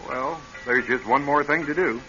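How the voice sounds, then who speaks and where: Radio play villain, a male actor: mid-pitched, coolly menacing, gloating, music underneath. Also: moderate pace, lightly acted. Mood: cold menace. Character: menacing, smug male crook, coolly vengeful. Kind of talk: radio drama acting. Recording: muffled old radio show with music.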